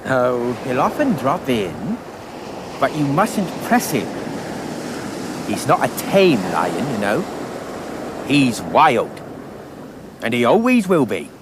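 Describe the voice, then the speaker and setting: An actor playing a gentle, wise, reassuring friend in a radio drama, speaking earnestly. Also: male voice, medium pitch, big pitch swings, takes it slowly, long pauses, noisy background. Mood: gentle reassurance.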